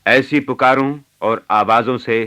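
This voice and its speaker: A man's voice: medium pitch, fairly even intonation, unhurried, pushed and bright. A man playing an earnest revolutionary orator in a radio play, delivering an intense, declamatory monologue.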